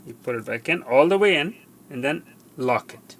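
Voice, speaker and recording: Creaky-voiced man giving a repair demo. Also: fairly high pitch, animated, a bit bright. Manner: halting, step-by-step, repeats himself. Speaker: repair tutorial presenter. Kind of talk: hands-on how-to demonstration. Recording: faint background noise.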